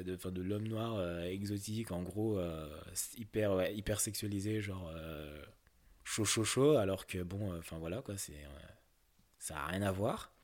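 A young man from France answering interview questions candidly, hesitant, with many fillers and restarts. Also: on the low side.

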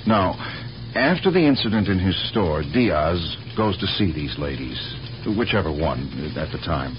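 An actor playing a methodical police detective in a radio drama, questioning patiently but insistently and deliberately. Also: male voice, sits low, big pitch swings, over music. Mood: explanatory. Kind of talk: 1970s radio drama acting. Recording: muffled, noisy old radio recording.

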